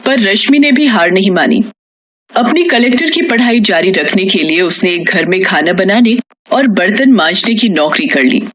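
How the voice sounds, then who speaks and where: A woman's voice: mid-range voice, lively intonation, moderate pace, a bit bright, poor audio. A woman storyteller reading a scripted moral tale in narration style.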